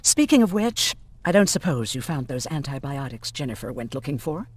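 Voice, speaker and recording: An actress playing an earnest, resolute young resistance volunteer in a video game. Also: female voice, a bass voice, very animated, moderate pace, slightly rough, no room sound, heavily acted. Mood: earnest, concerned. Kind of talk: dramatic video game acting.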